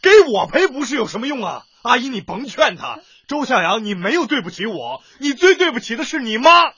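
Strict angry tutor in a radio play, high husky voice, swings all over, cutting. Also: male voice, very animated, a little fast, sharp tone, dry recording, dull audio, heavily acted. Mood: angry, scornful. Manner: harsh, cutting, emphatic. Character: stern, hot-tempered male home tutor scolding his student. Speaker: actor in a radio drama. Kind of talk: dramatic radio play acting.

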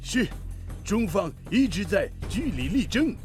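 An actor playing a polite, deferential Japanese technical expert, a junior colleague, in a radio drama. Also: male voice, sits high, big pitch swings, measured pace, over music. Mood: anxious, dutiful.

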